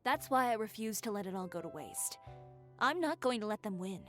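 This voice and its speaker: A composed, self-assured woman designer from a video game, played by an actress. She speaks at a medium pitch with a dry, wry edge and steely determination. Music plays clearly behind her. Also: lively intonation, fairly quick, fairly bright tone, natural voice. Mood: determined. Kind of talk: video game character acting. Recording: background music.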